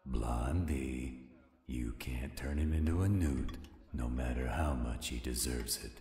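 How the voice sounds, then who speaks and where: A man's voice: very deep voice, takes it slowly, dark tone, slightly rough, faint music behind, heavily acted. An actor playing a laid-back, teasing male werewolf with an easygoing drawl in an audio roleplay. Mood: calm, reassuring.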